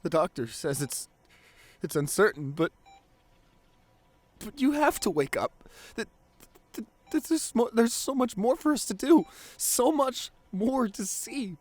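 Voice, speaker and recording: A man playing a grieving, devoted boyfriend in an emotional ASMR audio roleplay, pleading haltingly through tears with his voice breaking. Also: extremely high pitch, big pitch swings, heavily acted. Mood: pleading, tearful. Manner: halting, repeats phrases, voice breaking into sobs. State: crying. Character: grieving devoted boyfriend pleading at comatose partner's hospital bedside.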